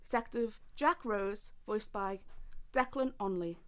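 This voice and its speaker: A woman's voice: mid-range voice, a little slow, fairly bright tone. A woman reading scripted credits as an announcer.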